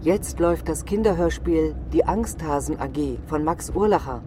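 A man playing a brisk, official radio announcer in a mock news bulletin for a children's drama. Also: a little high, noisy background, natural voice.

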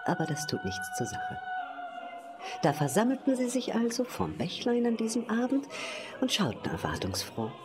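A woman's voice: a little high, wildly swooping pitch, moderate pace, music in the background. A woman narrating an audio drama in a theatrical, wry and musing way, often slipping towards a whisper.

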